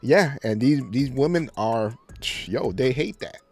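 A man in his thirties hosts a laid-back commentary, speaking loosely with lots of fillers and restarts. He records into a studio microphone at home. Soft music sits faintly under his voice. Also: medium pitch, a little slow, breathy edge. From United States. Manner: relaxed, conversational, many fillers. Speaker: host. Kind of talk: casual commentary. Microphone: studio mic on boom, headphones.

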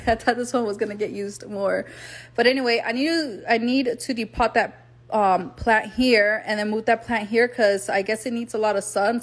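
A woman giving a casual tour of her house on a handheld phone, chatting with fillers and trailing thoughts. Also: mid-range voice. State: out of breath. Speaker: home vlogger. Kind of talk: casual home tour vlog. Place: two-story house indoors, then garage. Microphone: phone camera held in hand.

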